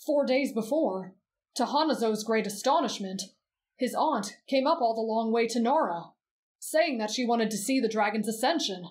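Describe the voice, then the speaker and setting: Female performer as kind teenage boy, higher-pitched, soft ASMR delivery, expressive and measured storyteller. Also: lightly acted. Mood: measured storytelling. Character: gentle teenage boy reading a bedtime story.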